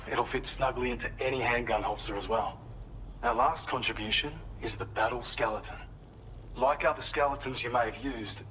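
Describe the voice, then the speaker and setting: A man acts an earnest, helpful facility researcher in a video game. His voice is bright and slightly low, and he explains things in a polite, measured way over faint ambient noise. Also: close to an everyday voice, rough recording. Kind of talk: scripted game briefing.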